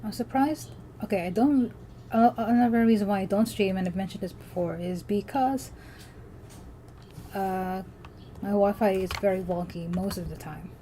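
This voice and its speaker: Mellow-voiced woman chatting while drawing. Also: mid-range voice, normal speed, a little hiss or hum, rough recording. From Philippines. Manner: rambling, chatty, many fillers and restarts. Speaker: artist streaming while drawing. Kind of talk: casual livestream chat.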